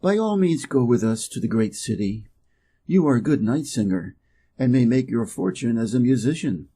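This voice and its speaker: A man's voice: medium pitch, animated, moderate pace, mellow, breathy edge. A man with an American accent voicing a friendly, encouraging old donkey in a storybook, hearty and courteous.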